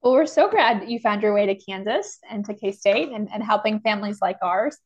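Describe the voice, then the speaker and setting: A woman's voice: mid-range voice, lively intonation, fairly quick, highs cut off, a little hiss or hum. A woman guest-hosting a podcast, opening with a friendly prepared introduction.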